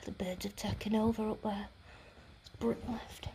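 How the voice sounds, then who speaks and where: A woman's voice: medium pitch, fairly even intonation, moderate pace, slight background noise. A woman whispering cautiously as she films her walk through a derelict building on a phone. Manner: hushed, cautious, often whispering. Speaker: urban explorer filming. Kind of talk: whispered exploration vlog. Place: derelict brick building, indoors. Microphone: handheld phone camera.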